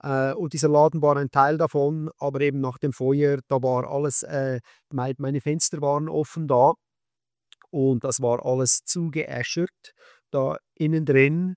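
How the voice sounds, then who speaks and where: Swiss-accented man, halting and dry-sounding. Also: on the high side, fairly even intonation, no echo. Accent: Swiss German. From Switzerland. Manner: hesitant, many fillers and restarts. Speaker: guest, skate shop owner and magazine maker. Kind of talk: casual interview.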